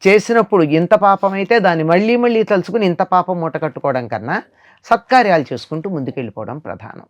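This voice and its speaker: A woman's voice: fairly low pitch, expressive, fast, highs cut off. Older woman teaching morals, emphatic. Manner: didactic, emphatic, repeats phrases for emphasis. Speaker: spiritual storyteller and teacher. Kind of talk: moral discourse in conversation.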